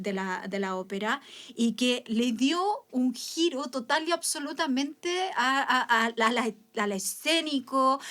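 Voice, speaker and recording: A woman's voice: high-pitched, fairly bright tone. A Chilean woman co-hosting a podcast, chatting casually and speaking with enthusiastic emphasis. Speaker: podcast co-host. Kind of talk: casual chat.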